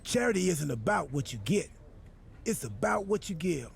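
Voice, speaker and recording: An actor playing a goofy, self-important wrestler turned boss in a video game cutscene, with a gravelly, husky voice and an earnest, absurdly literal, rambling manner. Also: male voice, sits high, very animated, moderate pace, full character voice. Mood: smug, cheerful. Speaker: wrestler acting as general manager.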